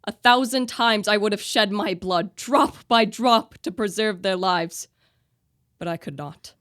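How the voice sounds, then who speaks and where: A woman's voice: medium pitch, unhurried, fairly bright tone, no room sound, clean studio sound, lightly acted. A young woman playing a tormented, guilt-ridden young man in a radio play, with earnest, formal literary diction. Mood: anguished, guilty. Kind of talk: student radio play acting.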